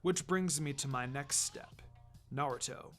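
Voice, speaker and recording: Man voicing calm, low-toned ninja teacher, weary and commanding. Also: faint music behind, lightly acted. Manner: measured, unhurried, matter-of-fact.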